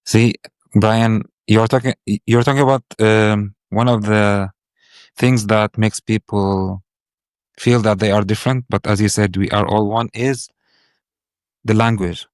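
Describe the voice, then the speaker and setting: A man whose first language is Arabic, hosting a podcast and asking reflective questions in a thoughtful, searching way, with pauses and repeated words. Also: on the low side, fairly even intonation, clear, resonant tone, no echo. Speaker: podcast host.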